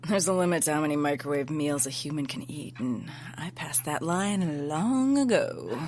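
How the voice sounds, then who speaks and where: A woman's voice: low voice, animated, moderate pace, a little mellow, a little hiss or hum. An actress playing a wry, playful young woman who talks informally and sarcastically in an audio drama. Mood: contented, teasing. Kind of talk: naturalistic audio drama dialogue.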